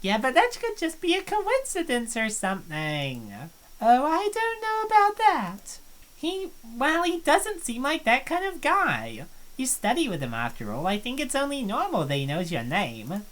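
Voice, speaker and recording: A woman's voice: sits high, huge pitch swings, unhurried, a bit bright, full character voice. A woman voicing a hesitant, somewhat apologetic college girl in a fan-voiced visual novel. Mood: doubtful.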